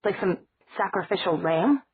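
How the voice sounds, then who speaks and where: Husky woman playing a fed-up young guy, loud and heated, thin dry audio. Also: fairly low pitch, animated, moderate pace, pitched above the performer's own voice. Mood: exasperated. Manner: shouting, exasperated. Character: hot-tempered young man, loyal friend. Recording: dry, tinny phone-like sound.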